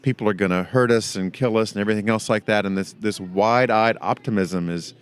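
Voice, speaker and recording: A man's voice: on the low side, fairly quick, clean tone. An American man hosting a podcast, asking his guests questions in a curious, conversational way. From United States. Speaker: podcast host. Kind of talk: casual interview chat.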